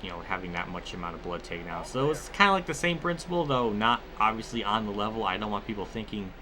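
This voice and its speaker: A man's voice: lowish voice, lively intonation, quick pace, pushed and bright, a little hiss or hum, poor audio. A man giving casual, rambling gameplay commentary mixed with personal storytelling, conversational and free with swearing. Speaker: gaming video creator.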